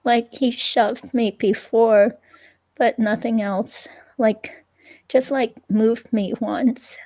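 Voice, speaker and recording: Uneasy young ex-girlfriend at a police interview, played by a woman: halting, flat in pitch, fry-edged. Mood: hesitant, uneasy. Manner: halting, hesitant, filler-laden delivery. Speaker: reader playing an interviewee. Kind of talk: dramatic reading of police interview transcript.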